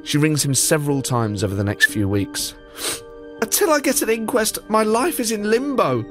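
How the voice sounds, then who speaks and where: A man's voice: sits high, very animated, moderate pace, soft background music. A man narrating a true-crime story as podcast host, with dry, wry asides. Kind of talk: scripted storytelling narration with banter.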